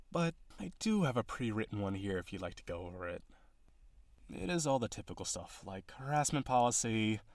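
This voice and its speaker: A man's voice: lowish voice, dry recording, close to an everyday voice. A man playing an awkward, businesslike villain on a blind date in an audio roleplay, talking to the listener in a hesitant, dry, earnestly formal way.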